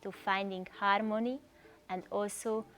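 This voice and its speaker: A woman introduces herself as a healer, sounding scripted. Her mid-pitched voice stays very level. Faint music sits underneath. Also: fairly even intonation, a little slow, a bit bright. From Hungary. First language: Hungarian. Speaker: energy healer.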